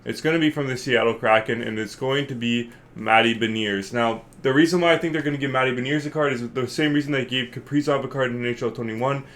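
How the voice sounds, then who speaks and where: Man, congested, gaming channel host, casual commentary, rambling, lots of fillers, mid pitch. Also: fast talker, few pauses, a bit bright. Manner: rambling, filler-heavy, thinking aloud. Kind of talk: casual video game commentary.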